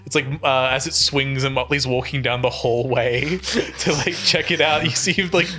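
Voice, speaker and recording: A man's voice: medium pitch, steady tone, normal speed, bright, forceful tone, faint music behind. A man playing a tabletop game, chatting in casual improvised banter with hesitant, circling phrases.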